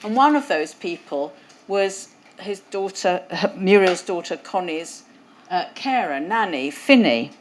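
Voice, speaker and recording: A woman's voice: fairly low pitch, animated, measured pace, a little hiss or hum. A woman hosting a museum event and introducing speakers, talking conversationally with some fillers into a lapel mic. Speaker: event host. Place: event hall.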